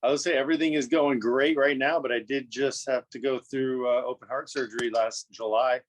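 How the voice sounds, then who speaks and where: A man with a mid-range voice recounts events in a calm, matter-of-fact tone. He speaks as a guest on a casual podcast. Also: medium pitch, brisk, slight background noise. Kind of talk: casual conversation.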